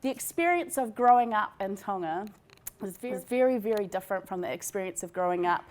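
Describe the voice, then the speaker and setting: A woman's voice: medium pitch, lively intonation, fast. New Zealand woman giving an academic talk. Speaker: academic researcher presenting doctoral thesis. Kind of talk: informal academic lecture.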